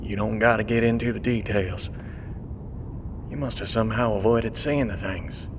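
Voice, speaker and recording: An actor playing a folksy, plain-spoken survivor who is protective of others, in a naturalistic audio drama. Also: male voice, on the low side, steady tone, natural voice. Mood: urgent, steadying. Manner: folksy, colloquial, drawn-out reassurance. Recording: clearly noisy background ambience.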